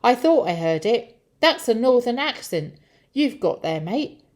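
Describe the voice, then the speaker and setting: A woman's voice: a little high, very animated, unhurried, darkish tone, voice pushed up. A woman voicing a suspicious, taunting servant in a children's story, matey and pointed. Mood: knowing accusation.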